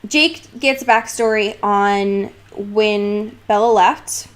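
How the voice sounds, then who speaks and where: Young adult woman, podcast co-host, slangy, sarcastic, irreverent, casual recap chat, mid-pitched voice. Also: drawn-out words.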